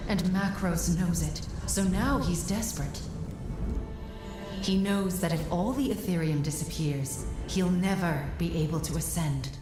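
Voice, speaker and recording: Woman voicing a solemn, low-pitched guide. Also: close to an everyday voice. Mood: grave, urgent. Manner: measured, formal, commanding. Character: solemn, authoritative female guide and strategist. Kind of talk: dramatic video game mission briefing. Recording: echoey space, music underneath. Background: music.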